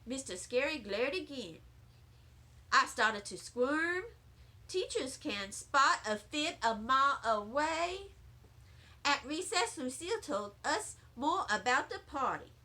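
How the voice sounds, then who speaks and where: A woman reads a children's book aloud with expressive, deliberate delivery. Her voice is bright and high, and she takes her time through each sentence. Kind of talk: animated read-aloud storytelling in a child's first-person narration.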